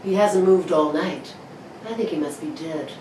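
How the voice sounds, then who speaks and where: A woman's voice: low-pitched, moderate pace, warm tone, pitched below the performer's own voice, close to an everyday voice. A woman playing an uneasy, insistent man of the house in a radio play reading, speaking urgently. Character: uneasy, insistent male apartment dweller, the master of the house. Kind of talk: radio play read aloud. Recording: roomy, slightly noisy distant microphone.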